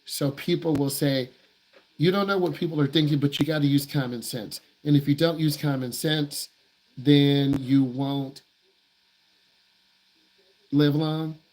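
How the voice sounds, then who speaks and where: A man's voice: a little high, steady tone, unhurried, long pauses, darkish tone, rough recording. Blunt, emphatic man teaching driving. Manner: blunt, emphatic, repeats himself for stress. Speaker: driving instructor. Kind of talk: live stream Q&A with viewers.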